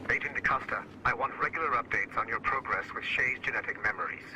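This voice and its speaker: An actor playing a secretive, authoritative corporate boss, speaking formally and cryptically in video game dialogue. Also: male voice, mid-range voice, fast, sharp tone, slight background noise, low-quality recording. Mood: commanding.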